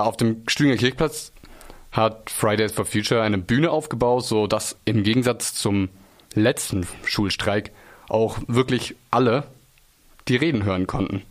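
Guy doing a local radio report, kinda low voice, chatty delivery. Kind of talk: reporting on a local event, conversational narration.

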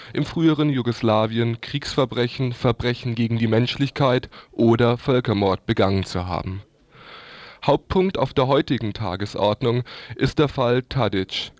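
A man's voice: mid-range voice, no room sound. A man presenting a scripted news report on the radio.

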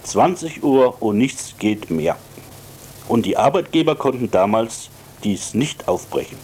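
A man giving prepared radio commentary as a works council member, with deliberate, emphatic phrasing. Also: mid-range voice, expressive, a little mellow, slight background noise.

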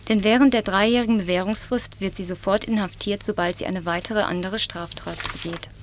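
A woman presents local news in a measured, serious reading voice at a middling pitch. She is from southwest Germany. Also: slight background noise. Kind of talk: read-out news report.

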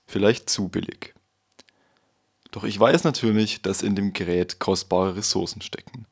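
A man's voice: fairly low pitch, normal speed, husky. A man narrating a radio feature in the first person and interviewing people. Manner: calm first-person storytelling, then interviewing. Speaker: radio reporter.

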